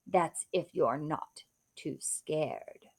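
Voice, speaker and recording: A woman's voice: low voice, very slow, a little mellow, breathy edge, voice pushed down, heavily acted. A woman voicing a wicked, sly witch from a storybook, gloating and taunting theatrically as she draws out her words. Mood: sly, coaxing. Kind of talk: storybook read-aloud.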